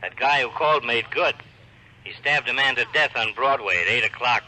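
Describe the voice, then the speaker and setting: A man's voice: mid-range voice, normal speed, natural voice. An American man playing a harried, businesslike homicide lieutenant in an old radio drama. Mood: worried, serious. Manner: businesslike, clipped, worried. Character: harried, earnest male homicide police lieutenant. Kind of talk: 1940s-50s American radio acting. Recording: muffled, hissy old radio recording.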